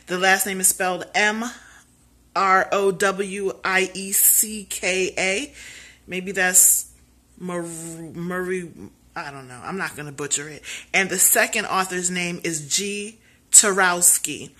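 A woman's voice: medium pitch, bright, forceful tone. A woman in her thirties hosting a health show in a casual selfie video, chatty and self-deprecating, spelling out terms letter by letter. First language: English. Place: small indoor room at home. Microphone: phone camera at arm's length.

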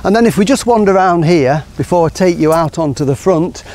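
A middle-aged man giving a relaxed, enthusiastic guided tour of his garden outdoors, explaining as he walks. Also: on the high side, brisk. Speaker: gardener and show host.

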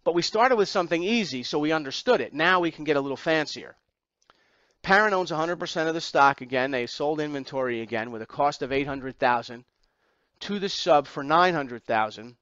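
A man's voice: fairly high pitch, animated, a little fast, fairly bright tone, dry recording, muffled recording. A man teaching an accounting exam class, lecturing through slides step by step with emphatic repetition and rhetorical questions.

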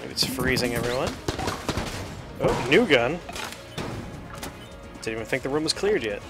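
A man's voice: medium pitch, animated, a little slow. Man doing playful gaming commentary over music. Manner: self-deprecating, playful. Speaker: show host, gamer. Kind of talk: casual let's-play commentary. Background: music and game gunfire.

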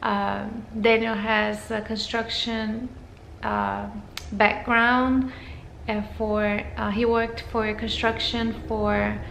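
Brazilian woman vlogger, gravelly, echoey room. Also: medium pitch, little pitch movement, takes it slowly, a bit bright, slight background noise. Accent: Brazilian Portuguese. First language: Portuguese. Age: 30s. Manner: hesitant, with frequent fillers and restarts. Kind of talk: casual channel introduction to camera.

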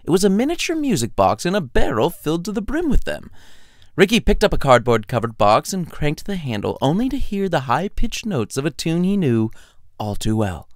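Animated, higher-voiced male storyteller. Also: normal speed, no room sound, studio quality. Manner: irreverent, sardonic, foul-mouthed; theatrical, chatty, addresses the listener. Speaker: writer reading his own short story. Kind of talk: comedic storytelling narration.

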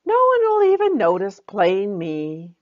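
A woman's voice: medium pitch, huge pitch swings, a little slow, narrow, tinny audio, pitched above the performer's own voice. A woman voicing a plain young fairy princess for a storybook, glum and self-pitying, complaining with emphasis. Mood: indignant, glum.

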